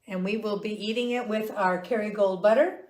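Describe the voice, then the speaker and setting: A woman's voice: medium pitch, fairly even intonation, brisk, darkish tone. A middle-aged woman hosting a casual family livestream, chatty and upbeat. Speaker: host. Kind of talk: casual chat.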